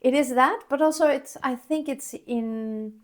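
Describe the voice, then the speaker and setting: A woman from Mexico hosts a relaxed conversation. She speaks thoughtfully, and her sentences often fade into quiet, half-voiced murmurs. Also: high-pitched, a little slow. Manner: thoughtful, trails off into soft murmurs. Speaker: podcast host. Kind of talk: casual conversation.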